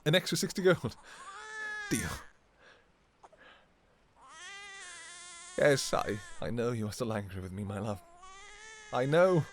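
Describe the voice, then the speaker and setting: A man playing an anguished, devoted vampire king in a fantasy romance audio roleplay, speaking in halting, pleading, formal old-fashioned phrases. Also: mid-range voice, very animated, slight background noise. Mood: tearful, tender. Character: anguished, devoted vampire king, obsessive lover and new father.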